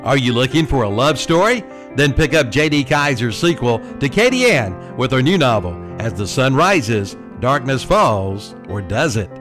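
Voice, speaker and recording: A man's voice: medium pitch, big pitch swings, moderate pace. A man reading scripted local radio commercials as an announcer. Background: music bed.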